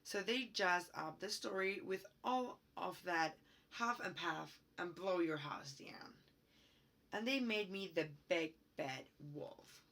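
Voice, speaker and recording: A woman's voice: on the low side, slow pace, vocal fry, a bit bright, exaggerated voice. A woman voicing an aggrieved male wolf who tells his side of a storybook tale in a conversational, emphatic way. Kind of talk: first-person storybook read-aloud.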